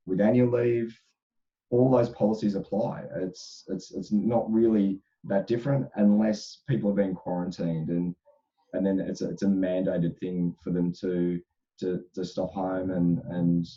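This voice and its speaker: This is a man speaking as an HR expert on a panel. His voice is very deep and dark, with a slight crackle from a sore throat. The audio sounds dull and lo-fi, and he answers thoughtfully, restarting phrases often. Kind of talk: casual panel discussion.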